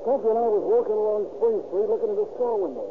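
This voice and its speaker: Male actor as small-time American hoodlum, rapid slangy chatter, resentful, old radio play, thin noisy broadcast sound. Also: sits high, narrow, tinny audio, lightly acted. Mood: confiding. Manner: slangy, ungrammatical tough-guy talk. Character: talkative young small-time stickup man confessing, resentful. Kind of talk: 1930s radio crime drama acting.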